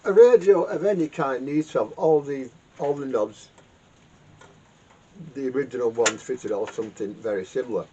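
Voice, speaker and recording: A man giving a casual, unscripted repair demonstration in a halting, rambling way, often repeating words as he explains. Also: mid-range voice. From United Kingdom. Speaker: vintage radio repairer and video host. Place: home garage workshop. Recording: dull lo-fi sound with clear background noise.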